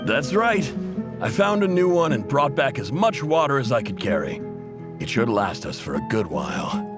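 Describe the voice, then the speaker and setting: An actor playing a middle-aged desert tribe chief and doting father, speaking with fatherly warmth in a game cutscene. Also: mid-range voice, sing-song, normal speed, loud music behind the voice, natural voice. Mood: cheerful.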